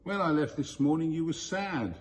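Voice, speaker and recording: A man's voice: on the high side, expressive, normal speed, a little hiss or hum, voice pushed up, close to an everyday voice. A man voicing a teasing, wearily sceptical male spirit person in a storybook, with exasperated expression. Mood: teasing, puzzled.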